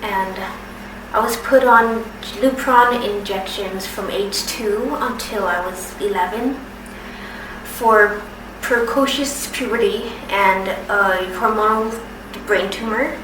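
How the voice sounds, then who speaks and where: A woman in her thirties who stutters, speaking slowly with pauses and restarts in a personal testimony. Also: mid-range voice, steady tone. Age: 30s. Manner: stutters, with repeated sounds and restarts; slow, careful, with pauses. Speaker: documentary submitter. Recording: roomy, distant from the mic, noisy background.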